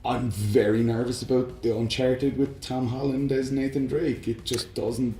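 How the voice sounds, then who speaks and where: A man's voice: mid-range voice, level tone, unhurried, warm tone. A man co-hosting a podcast, chatting casually about films and games. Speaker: podcast co-host. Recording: roomy echo, faint music behind.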